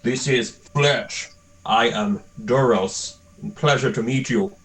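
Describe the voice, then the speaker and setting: A man's voice: medium pitch, slow, fairly bright tone, soft background music. A man playing a tabletop game, casual and playful. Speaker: player.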